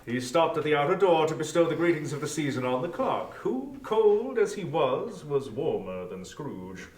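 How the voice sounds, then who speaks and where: A man's voice: on the high side, big pitch swings, moderate pace, darkish tone. A man narrating a story in a scripted, dramatic style, recorded at some distance in a roomy space.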